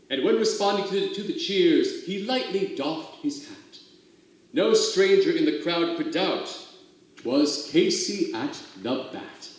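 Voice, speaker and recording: Theatrical man reciting verse in an echoey room. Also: a little high. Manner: theatrical, expressive storytelling with drawn-out emphasis. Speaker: reader of poetry for a theater company. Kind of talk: dramatic poetry recital. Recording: very echoey, roomy sound.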